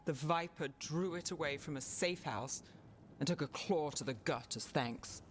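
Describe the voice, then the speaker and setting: A woman's voice: low-pitched, normal speed, slight background noise, lightly acted. A woman playing an anguished, dutiful defender of a fantasy city in a video game, terse and serious. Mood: weary, tense. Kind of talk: video game dialogue acting.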